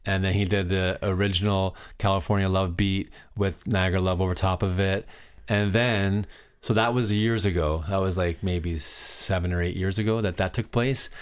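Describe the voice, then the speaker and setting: Low, monotone man talking shop. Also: moderate pace, clean tone. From Canada. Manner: rambling, with many fillers and restarts. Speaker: DJ guest. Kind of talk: casual interview chat.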